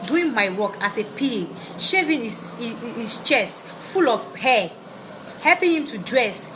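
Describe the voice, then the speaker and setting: A woman partly of Nigerian background, not a native English speaker, ranting bluntly to camera in halting English with many restarts. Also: fairly high pitch, big pitch swings, background noise. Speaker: vlogger. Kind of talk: direct-to-camera rant. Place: Ivory Coast.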